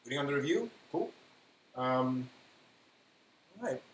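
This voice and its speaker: Male live stream host, offhand and conversational, medium pitch, recorded in an echoey room. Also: expressive, measured pace. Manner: offhand, thinking aloud. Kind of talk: casual live stream chat. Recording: roomy echo, faint hiss.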